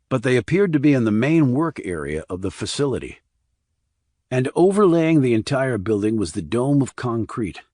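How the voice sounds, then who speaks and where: A man's voice: fairly low pitch, a little mellow, husky, no echo, clean studio sound. A man narrating a crime thriller audiobook as a scripted reading.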